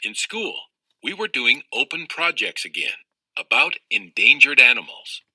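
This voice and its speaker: A man reading a children's novel aloud in an expressive storytelling style. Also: mid-range voice, measured pace, husky, no room sound, rough recording. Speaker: audiobook reader of a children's novel.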